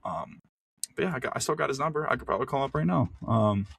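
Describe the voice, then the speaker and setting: A young man of about nineteen with a husky voice of medium pitch. He tells personal anecdotes as a podcast co-host, in a loose, slangy, conversational way. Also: animated, fast talker, fairly bright tone, no room sound. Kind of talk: casual chat, personal anecdotes.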